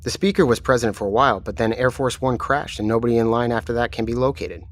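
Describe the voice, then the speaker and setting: A man voicing an unflappable military chief in an audio drama, measured and matter-of-fact. His voice sits at an ordinary middle pitch and stays composed and businesslike. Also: lightly acted. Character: calm, commanding male military chief. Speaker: military commander.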